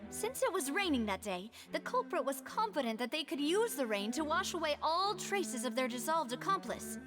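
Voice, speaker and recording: Game role: determined young female accuser, high-pitched, earnest and formal delivery, music behind. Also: big pitch swings, normal speed. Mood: grave, insistent. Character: determined young woman accuser pressing charges in court. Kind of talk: dramatic video game voice acting.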